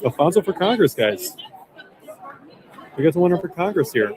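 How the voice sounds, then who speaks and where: A man's voice: a little high, sing-song, moderate pace. A man running a casual livestream on his phone, chatting and reading viewer comments aloud. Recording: crowd chatter behind.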